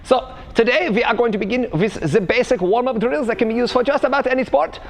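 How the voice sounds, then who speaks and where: A man's voice: very high-pitched, voice pushed up. A man doing a goofy German-accented coach. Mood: bouncy, instructive. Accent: mock German. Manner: exaggerated, sing-song drawn-out words, tags lines with "yeah?". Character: overexcited German-accented male fitness coach. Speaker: parkour coach. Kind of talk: comedic tutorial.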